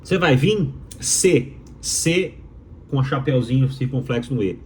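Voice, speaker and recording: Man, Mineiro accent, emphatic explainer, rhetorical questions, mid-pitched voice, faint background hiss. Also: lively intonation, measured pace, a little mellow. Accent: Mineiro Brazilian Portuguese. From Minas Gerais, Brazil. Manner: emphatic, rhetorical, repeats key phrases. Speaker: doctoral student in communication giving his opinion. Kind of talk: opinionated explainer monologue to viewers.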